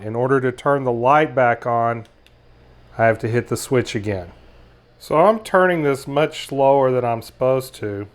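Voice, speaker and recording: Clear-voiced man demonstrating a gadget. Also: mid-range voice. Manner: methodical, explains each step. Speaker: product reviewer. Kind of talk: casual hands-on gadget demonstration.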